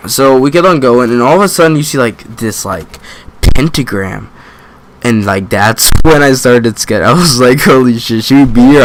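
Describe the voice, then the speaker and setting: A man's voice: medium pitch, slight background noise. A young man telling a story in a casual, slangy, profane style, acting out asides and joking at his own expense. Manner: animated retelling with quoted asides and self-deprecating jokes.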